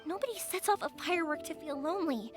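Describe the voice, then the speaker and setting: A woman's voice: very high-pitched, fast talker. An actress playing a cheerful, earnest young female fireworks maker in a video game, with faint music behind. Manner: bright, earnest, lively. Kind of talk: game voice acting.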